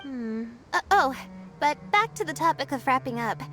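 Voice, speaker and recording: A woman voicing a gentle, thoughtful girl in an anime-style video game, with a musing, hesitant manner. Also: high-pitched, animated, moderate pace, music in the background. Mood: pensive.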